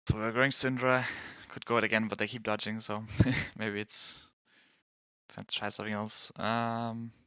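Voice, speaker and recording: A man's voice: fairly low pitch, flat delivery, moderate pace, pushed and bright, clear, resonant tone, no room sound, highs cut off. A man whose first language is Danish, chatting casually with viewers while gaming as a streamer, rambling and restarting his sentences.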